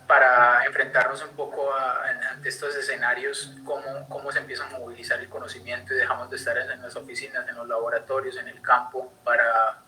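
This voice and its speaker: A man's voice: mid-range voice, level tone, fairly quick, few pauses, pushed and bright, poor audio. A young man from Colombia with a Colombian accent, giving an online conservation talk with many fillers and restarts. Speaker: conservation speaker. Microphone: computer mic on video call.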